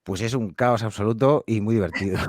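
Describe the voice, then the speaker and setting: A man's voice: fairly low pitch, measured pace, no echo, studio quality. A man who makes audio fiction, answering interview questions in a friendly, hesitant way with fillers and restarts. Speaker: podcast creator and guest. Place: home studio.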